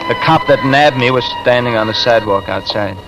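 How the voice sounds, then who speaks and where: An actor playing a nervous truck driver in a 1950s radio drama, talking in a defensive, colloquial way. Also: male voice, mid-range voice, lively intonation, a little fast, highs cut off, natural voice. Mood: wry, uneasy narration. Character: nervous working-class truck driver, wearily telling his story. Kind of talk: 1950s radio drama acting, first-person narration. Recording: vintage radio recording with music underneath.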